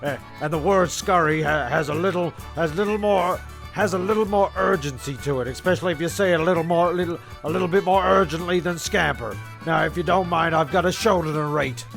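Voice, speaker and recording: A man acting as a self-important radio-play narrator, creaky-voiced over clear music. He rambles, restarts and grumbles testily through his storytelling. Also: fairly high pitch, a little fast, fairly bright tone. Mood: testy.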